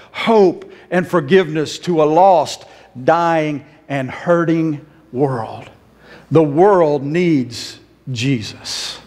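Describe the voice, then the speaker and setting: Pastor, 50s man, high voice, Southern American accent, earnest emphatic sermon delivery in a church. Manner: earnest, emphatic, pacing the stage and gesturing. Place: church sanctuary stage, indoors.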